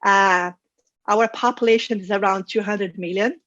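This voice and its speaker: Woman, Brazilian Portuguese accent, mid-pitched voice, conversational conference talk, hesitant phrasing, dry slightly muffled recording. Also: steady tone, normal speed, a bit bright, no echo. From Rio de Janeiro, Brazil. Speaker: conference speaker, data science manager. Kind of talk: informal conference presentation over slides.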